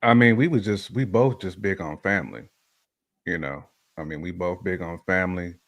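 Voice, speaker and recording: Low-voiced man talking opinions, emphatically. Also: normal speed. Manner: emphatic, repeats phrases for emphasis. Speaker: podcast guest panelist giving opinions. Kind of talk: casual conversational opinion talk.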